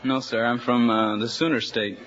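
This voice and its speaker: A man in his twenties from Oklahoma, a quiz contestant giving halting, folksy answers. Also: medium pitch, steady tone. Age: 20s. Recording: dull 1950s broadcast recording with studio audience.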